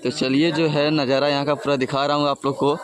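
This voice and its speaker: A young man with a fairly high voice chats to his viewers as friends while walking through a busy railway station. He films himself on a phone held at arm's length, outdoors. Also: flat delivery, brisk, faint music behind. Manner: chatty, addresses viewers as friends. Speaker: travel vlogger. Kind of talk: casual travel vlog narration.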